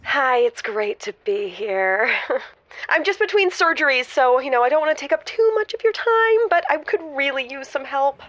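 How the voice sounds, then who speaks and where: A high, animated doctor caller. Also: female voice, normal speed, dull audio. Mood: eager, awkward. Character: busy doctor calling radio show for marriage help. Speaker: caller to an advice show. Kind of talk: improvised comic audio drama.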